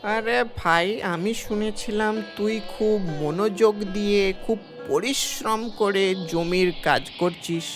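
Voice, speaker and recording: A man voicing a wily village man who pleads and feigns grave illness in a cartoon, speaking in a halting, theatrical way. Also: high-pitched, a bit bright, heavily acted. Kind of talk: cartoon story acting.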